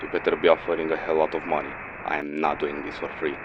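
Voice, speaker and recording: A man's voice: extremely low pitch, level tone, quick pace. A man playing a jaded, sardonic mercenary in a game audio drama, blunt and grumbling in his delivery. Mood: irritated, grudging. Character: jaded, sardonic male mercenary codenamed Phantom. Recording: dull lo-fi audio, noisy vehicle-like background.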